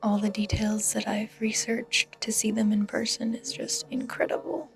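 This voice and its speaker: A woman narrating a vlog in an excited, gushing and exclamatory way. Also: mid-range voice, normal speed, gravelly. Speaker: vlogger and seamstress.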